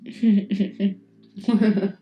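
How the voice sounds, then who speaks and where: A woman acting a tender, lovestruck best friend in an intimate ASMR roleplay, speaking in a halting, earnest, vulnerable way. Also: mid-range voice, level tone, muffled recording. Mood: tearful, awed. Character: tender, lovestruck female best friend confessing her feelings. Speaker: voice actress.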